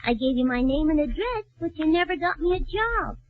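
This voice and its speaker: A woman's voice: high voice, lively intonation, a little fast, no room sound. A woman playing a chirpy, flirty, giggly aspiring actress in a vintage radio comedy. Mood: gushing. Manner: giggly, gushing. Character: chirpy, gushing, flirty female actress seeking work. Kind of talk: 1930s-40s radio comedy acting. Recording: dull old radio broadcast recording.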